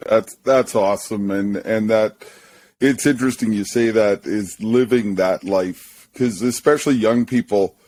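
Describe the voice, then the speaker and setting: Low-voiced easygoing male host. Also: steady tone, unhurried, darkish tone, a little hiss or hum. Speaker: podcast host. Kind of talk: casual interview.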